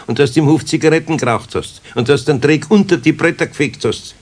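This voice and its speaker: An actor playing a gruff but kindly older master craftsman in a children's radio play, husky, with a Bavarian accent and a folksy, gently scolding way of talking. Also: a little high, quick pace, lightly acted. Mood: teasing.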